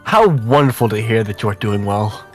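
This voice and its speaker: A man's voice: lowish voice, animated, moderate pace, faint music behind, lightly acted. A man voicing a chatty, affectionate young female letter writer in a visual novel, conversational and self-deprecating. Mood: chatty, sheepish.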